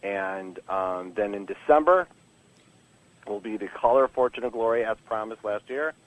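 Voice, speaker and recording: A man with a fairly low voice talks as an interviewed writer on a casual podcast. He is informal and candid, often stopping and restarting his sentences as he rambles along, with a joking streak. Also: moderate pace, no echo, rough recording. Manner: rambling, stop-start delivery with many false starts. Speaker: comic book writer being interviewed.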